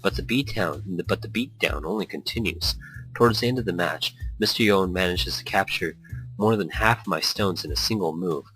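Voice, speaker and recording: Deep, bright-voiced man narrating a game. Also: normal speed, poor audio. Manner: rambling asides. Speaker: let's play host. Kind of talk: casual commentary and reading visual novel text aloud. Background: background music.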